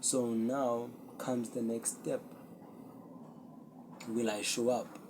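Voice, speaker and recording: A young man, a Zulu speaker, hosting his own podcast and talking earnestly and reflectively to a camera with many pauses. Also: mid-range voice, level tone, background noise. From South Africa. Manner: slow, earnest, self-searching, with pauses. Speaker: podcast host. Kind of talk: solo reflective talk to camera.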